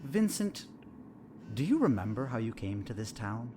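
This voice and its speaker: A man's voice: medium pitch, big pitch swings, dark tone, slight background noise, close to an everyday voice. Actor playing a probing, insinuating male therapist. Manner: measured, probing, pointed. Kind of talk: audio drama acting.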